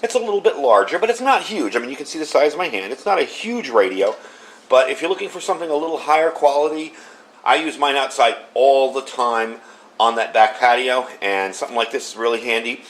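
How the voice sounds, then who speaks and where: A man's voice: medium pitch, lively intonation, fairly quick, a bit bright. A man giving a casual product review in a conversational, unscripted, matter-of-fact way.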